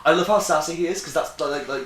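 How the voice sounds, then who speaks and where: A man's voice: on the high side, quick pace, a bit bright. A man chatting casually with friends as host, giving sweary, opinionated takes. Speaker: host of a friends' tier-list discussion. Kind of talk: casual chat. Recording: roomy echo, clearly noisy background.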